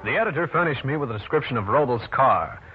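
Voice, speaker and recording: An actor playing a tough, wry American private detective in a 1940s radio drama, clipped and dry. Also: male voice, mid-range voice, lively intonation, fast talker, breathy edge, soft background music. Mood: dry narration. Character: tough, cool, wry New York private detective man. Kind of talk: 1940s radio detective drama, first-person narration and dialogue. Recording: muffled, noisy old radio recording.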